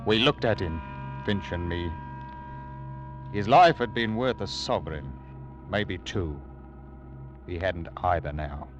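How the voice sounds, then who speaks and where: An actor playing a beleaguered male ship captain, narrating gravely in a 1940s radio drama. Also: deep voice, slow, pauses often, a little hiss or hum. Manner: grave, tense, flaring into shouts. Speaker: narrator and lead. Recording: old radio broadcast with music underneath.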